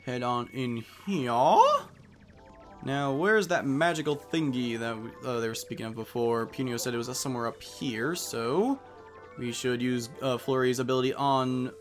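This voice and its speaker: A man's voice: mid-range voice, normal speed. A young man giving casual, wry commentary while playing a video game and reading the on-screen text aloud. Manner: chatty, wry asides, reads game text aloud with put-on voices. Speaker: let's play host. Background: faint game music.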